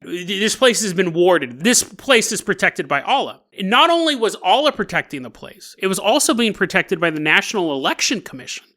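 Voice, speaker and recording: A man hosting a solo podcast, telling stories in a casual, jokey, animated way. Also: high-pitched, moderate pace, hardly any pauses. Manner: animated, jokey, laughs at own asides. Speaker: podcast host. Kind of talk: casual comedic storytelling, solo podcast narration.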